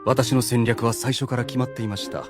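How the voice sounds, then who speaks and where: A man playing a cunning, courteous demon strategist in an anime audio drama, polite, measured and theatrical. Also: medium pitch, fairly even intonation, loud music behind the voice, lightly acted. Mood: smug.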